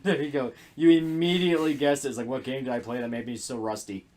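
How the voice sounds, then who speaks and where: A man's voice: medium pitch, fairly even intonation, fast, a little mellow. A man co-hosting a game stream, trading laid-back, wry banter. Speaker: stream co-host. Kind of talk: casual game stream chat.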